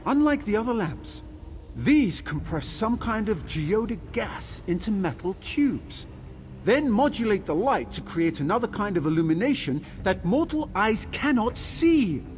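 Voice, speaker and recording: An actor playing a fussy, curious and anxious scholarly tinkerer in a video game, speaking in a formal, exclamatory way. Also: male voice, sits high, very animated, unhurried, a little hiss or hum. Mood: fascinated, explaining. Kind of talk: video game character dialogue.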